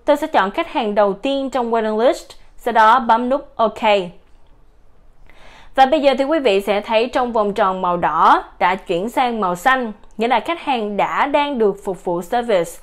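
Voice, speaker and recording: A woman giving a steady, explanatory voice-over for a software product demo. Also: a little high, normal speed.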